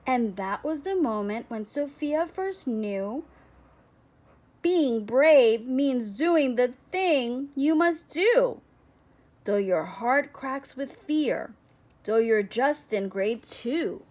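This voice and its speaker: A woman narrates a children's storybook. Her voice is high and she reads at a slow, deliberate pace, telling the tale expressively. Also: animated, darkish tone. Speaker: storybook reader. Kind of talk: read-aloud children's picture book narration.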